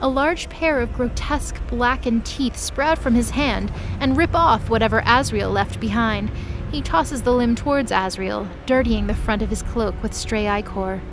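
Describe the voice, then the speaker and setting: A woman's voice: fairly high pitch, moderate pace, music in the background, rough recording. A woman reading scripted, dramatic story narration as the narrator of an audio drama. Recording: clear background noise under voice.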